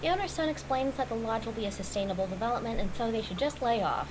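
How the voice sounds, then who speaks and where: A woman's voice: a little high, expressive, fast talker. A woman delivering scripted critical commentary as a video essayist, articulate, analytical and opinionated. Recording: clearly noisy audio with hum.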